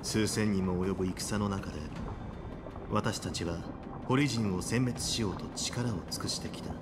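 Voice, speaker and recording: An actor playing a composed, authoritative military official in a Japanese video game, speaking solemnly and deliberately in formal language. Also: male voice, lowish voice, lightly acted. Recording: game music and background noise. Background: game music.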